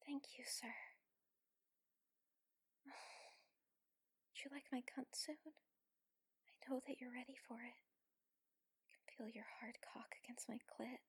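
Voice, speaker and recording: A woman acts out a submissive warrior woman in an intimate adult audio drama. Her voice is high and very breathy, often slipping into whispers. She speaks in a slow, coaxing, pleading way. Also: steady tone, no room sound, studio quality, heavily acted. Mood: breathy, aroused. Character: submissive, teasing adult female warrior lover. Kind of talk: intimate erotic audio roleplay.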